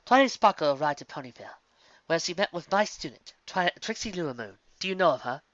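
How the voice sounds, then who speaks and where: A stately, ancient alicorn princess who weighs every formal word, voiced by a man pitching his voice up, crisp and bright in tone. The recording is dry and dull, with a lo-fi edge. It sounds like a storybook reading done at home. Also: lively intonation, no echo. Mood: grave. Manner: formal, measured royal diction.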